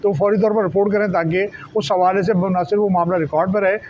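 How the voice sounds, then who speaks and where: A man with a Pakistani accent explains in an interview as a journalist, wordy and halting, often repeating and restarting his words. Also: high-pitched, fairly even intonation, darkish tone. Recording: dull lo-fi sound, faint background noise.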